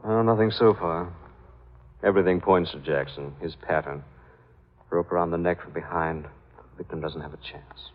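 An actor playing a suave, shrewd, courteous amateur sleuth in an old-time radio drama. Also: male voice, sits low, normal speed, pauses often. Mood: somber. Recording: tinny, phone-like old radio recording.

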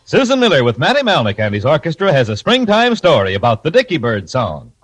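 A man's voice: fairly high pitch, wildly swooping pitch, fairly quick. A man announcing in the style of a 1940s radio show host. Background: music.

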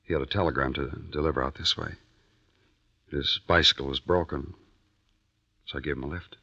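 Very deep-voiced easygoing deputy. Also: male voice, fairly even intonation, exaggerated voice. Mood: wry, relaxed. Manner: folksy, unhurried, gentle. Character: easygoing, reassuring male small-town deputy sheriff. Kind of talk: 1940s radio acting. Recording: muffled vintage radio recording.

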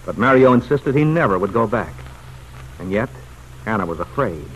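A man's voice: on the low side, lively intonation, moderate pace, poor audio. A man delivering dramatic scripted narration for an old-time radio story. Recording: old radio recording with faint noise.

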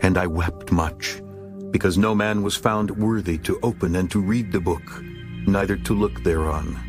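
A man narrating a dramatized scripture audiobook in a solemn, measured storytelling manner. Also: sits low. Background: music.

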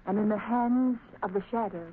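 An actress playing an anxious, loyal companion to a crime fighter, urgent and pleading, in 1930s radio drama style. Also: female voice, medium pitch, moderate pace, breathy edge, lightly acted. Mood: worried, pleading. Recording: noisy tinny 1930s radio recording.

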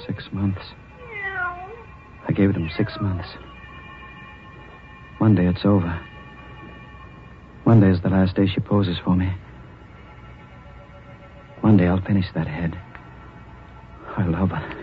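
An obsessive, brooding sculptor in old radio horror. His voice is very deep and very slow, with melodramatic menace. The old recording sounds muffled. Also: steady tone, faint music behind. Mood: obsessed, gloating. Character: brooding, obsessive mad sculptor, jealous and cunning. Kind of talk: 1940s radio horror acting.

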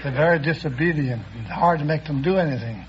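Older New York man, higher-pitched, vintage radio. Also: fast. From New York City, United States. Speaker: quiz show contestant. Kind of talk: casual interview answers. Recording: dull vintage radio recording, faint noise.

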